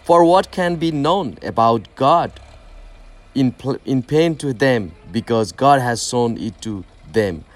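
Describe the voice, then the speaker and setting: A man from Nepal with a Nepali accent, a native Nepali speaker reading scripture very slowly and stumbling over hard words. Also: mid-range voice, animated.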